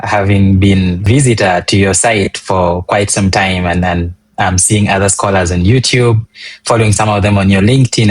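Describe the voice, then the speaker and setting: A young man from Uganda, a public health physician, answering interview questions in an earnest, measured way with frequent ums and restarts. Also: on the low side, dry recording, low-quality recording.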